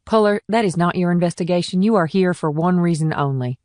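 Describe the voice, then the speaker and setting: A no-nonsense female small-town cop, played by a woman, gives guarded, blunt answers in a lowish voice. It is audiobook dialogue with a dry, close sound. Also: brisk, darkish tone, no echo, natural voice.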